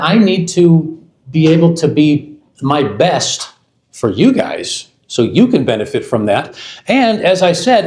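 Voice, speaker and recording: A man's voice: on the high side, a little mellow. A man in his fifties, from the United States, giving a conversational product presentation to a live audience, often restarting phrases and stressing each item in his lists. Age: 50s. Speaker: product development executive presenting at a launch event. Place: event hall.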